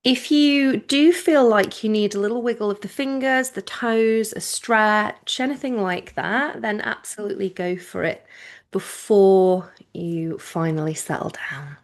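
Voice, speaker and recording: A woman teaching yoga nidra, giving informal guided relaxation instructions in a gentle, reassuring manner with unhurried phrasing and pauses. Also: mid-range voice.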